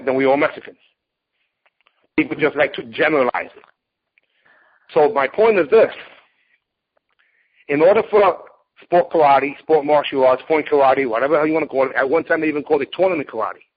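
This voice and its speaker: A man in his late forties who talks bluntly and emphatically, lecturing as he tells his story in an interview. His voice sits a little high and has a husky, slightly rough edge. The recording sounds dull and lo-fi, with the top end missing. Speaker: martial arts competitor and teacher.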